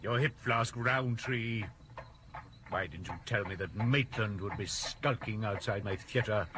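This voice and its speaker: An actor playing a vain, grumbling, middle-aged theatrical gentleman in a radio drama, with a pompous, world-weary delivery. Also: on the low side, measured pace, noisy background, lightly acted. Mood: brisk, haughty.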